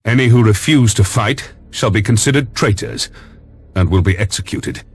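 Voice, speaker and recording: An actor playing a resolute lord admiral in a game cutscene, giving formal, measured, authoritative military briefings. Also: male voice, on the low side, husky. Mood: stern command. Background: faint music.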